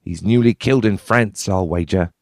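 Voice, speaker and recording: A man voicing a sharp-tongued Cockney prospector with a Cockney accent, scolding his companions bluntly. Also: low-pitched, moderate pace, vocal fry, no echo, studio quality, voice pushed up.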